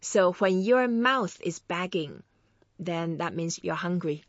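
A patient woman teaches Chinese phrases over a podcast, slowing down and repeating each one so her guest can follow. The sound is dry, with a slightly dull, muffled top end. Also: lowish voice, expressive, no echo. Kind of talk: friendly language lesson.

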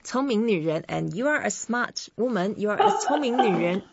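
A woman with a Chinese accent, a native Chinese speaker, teaching vocabulary in a language lesson and saying each syllable slowly and clearly. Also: medium pitch, animated, lo-fi sound, very noisy. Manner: slow, clearly separated syllables when modelling words. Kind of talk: language lesson with repeat-after-me drills.

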